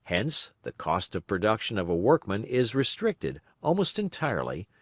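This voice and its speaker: Guy reading an audiobook, measured and emphatic, pitch goes up and down a lot, really dry sound. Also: huge pitch swings, dry recording. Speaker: audiobook reader. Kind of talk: scripted narration, reading a political text aloud.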